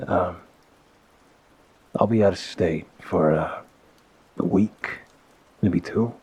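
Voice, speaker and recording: A low-pitched, heavily husky mafia boss played by a man speaks as if on a private call to a partner. He is gentle and protective, choosing his words with hesitant pauses. The delivery is an intimate, apologetic ASMR audio roleplay. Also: heavily acted.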